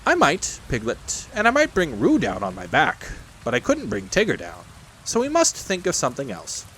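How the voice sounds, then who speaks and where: A man's voice: a little high, wildly swooping pitch, moderate pace, fairly bright tone, slight background noise, low-quality recording. A man voicing a simple-hearted toy bear in a children's storybook, solemn and thoughtful.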